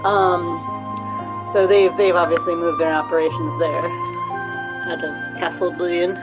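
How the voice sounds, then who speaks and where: A woman's voice: lowish voice, flat delivery, unhurried, poor audio. A woman giving casual playthrough commentary as a game streamer, rambling and thinking aloud. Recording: loud game music behind voice. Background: game soundtrack.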